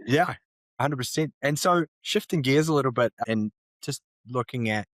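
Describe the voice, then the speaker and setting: A man's voice: medium pitch, animated, moderate pace, a bit bright, dry recording. A man hosting an interview, asking long, rambling questions that he reworks as he goes and nodding along with frequent affirmations. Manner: rambling, self-correcting questions, many affirmations. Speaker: interview host. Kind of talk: conversational interviewing.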